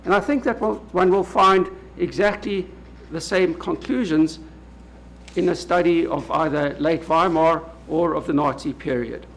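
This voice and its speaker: A man with a South African accent delivers a formal lecture, his voice sitting fairly high for a man. He speaks in a measured, halting way, filling pauses with frequent "uh"s. A faint background noise runs under the recording. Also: low-quality recording. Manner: measured, many hesitant "uh" fillers. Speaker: legal academic speaker. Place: lecture hall at a public event.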